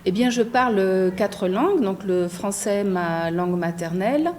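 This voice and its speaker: A middle-aged woman from France, a translator, answering interview questions thoughtfully with many pauses and a habit of asking "non?". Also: mid-range voice, a little mellow, slight background noise. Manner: thoughtful, many fillers, frequent tag "non?".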